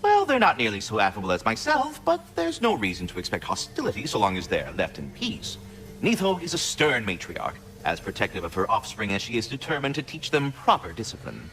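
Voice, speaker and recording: A man's voice: lowish voice, wildly swooping pitch, fairly quick, fairly bright tone, heavily acted. A man voicing a fussy, self-important magical squirrel in a video game, eager to serve and tutor, with flowery, theatrical enunciation. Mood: smug, instructive. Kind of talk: video game character acting.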